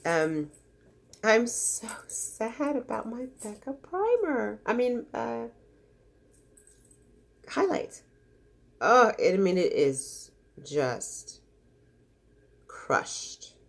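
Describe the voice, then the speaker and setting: A woman's voice: on the high side, creaky. Congested woman chatting through an unboxing. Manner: chatty, gushing, easily disappointed. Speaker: beauty vlogger. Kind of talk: casual unboxing chat.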